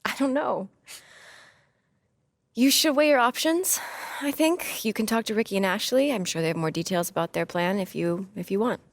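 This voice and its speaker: A woman's voice: mid-range voice, animated, normal speed, no echo, studio quality, lightly acted. A woman playing an athlete's supportive but insistent young girlfriend in a video game scene, earnest and natural in delivery. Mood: measured. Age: young adult.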